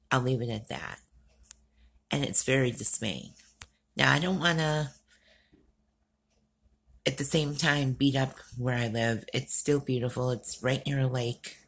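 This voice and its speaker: A middle-aged woman vlogger with a raspy voice, sounding tired and worn out, rambling earnestly with frequent ums in an unscripted rant. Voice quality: raspy, gravelly low voice. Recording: faint outdoor work noise behind.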